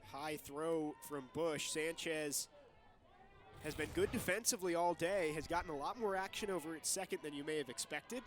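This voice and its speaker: A young man doing live softball play-by-play as the lead commentator, in clipped, present-tense calls. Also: a little high, moderate pace. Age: young adult.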